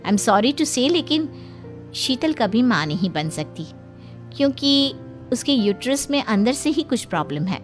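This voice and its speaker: Woman playing a frank doctor, high voice. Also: sing-song, soft background music. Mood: grave, apologetic. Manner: measured, explanatory. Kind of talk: Hindi cartoon acting.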